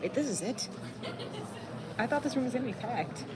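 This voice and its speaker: A woman's voice: on the high side, animated, normal speed. A young woman hosting a convention fan panel, chatting casually, joking, laughing and swearing into a handheld mic. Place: convention panel room indoors. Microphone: handheld microphone. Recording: room with loud crowd chatter. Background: chattering audience crowd.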